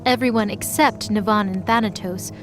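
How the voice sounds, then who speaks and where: A woman's voice: mid-range voice, steady tone, moderate pace, over music. A woman giving scripted, dramatic narration as the storyteller of an audio drama.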